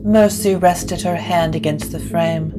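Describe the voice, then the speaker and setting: A woman narrating a scripted gothic story in a measured, literary way. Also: on the low side, steady tone, over loud music, poor audio.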